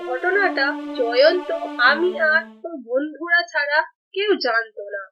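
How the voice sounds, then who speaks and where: A woman's voice: high voice, lively intonation, measured pace. A woman playing a landlord's proud, dramatic wife in a melodramatic cartoon. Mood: remorseful. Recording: muffled, loud music behind.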